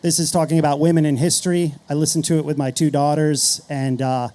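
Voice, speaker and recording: A middle-aged man speaking as a panel guest, explaining ideas in a measured, earnest way. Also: on the high side, flat delivery, mellow. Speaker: marketer and podcast creator, panel guest.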